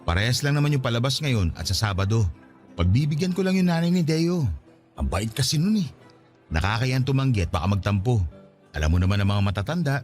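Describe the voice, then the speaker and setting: A man's voice: mid-range voice, animated, a little fast, a little mellow, soft background music, lightly acted. A man in a radio drama playing an upbeat boyfriend in his mid-thirties, breezy and a bit defensive. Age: 30s.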